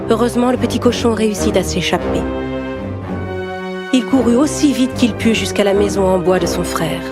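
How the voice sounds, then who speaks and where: A woman's voice: medium pitch, moderate pace. A woman storyteller reading a scripted fairy tale for young children. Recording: music behind the voice.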